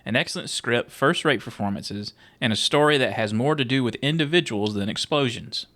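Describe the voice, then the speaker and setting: A man's voice: mid-range voice, normal speed, fairly bright tone. Laid-back man hosting a movie podcast. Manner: laid-back, steers the talk and reads critics' quotes aloud. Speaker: podcast co-host. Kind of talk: casual movie podcast chat, reading review quotes.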